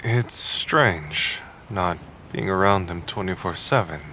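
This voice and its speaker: Casting: terse, skeptical sidekick, low forceful voice, unhurried, reserved and wistful, radio play. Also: male voice, a little hiss or hum, full character voice. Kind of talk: audio drama acting.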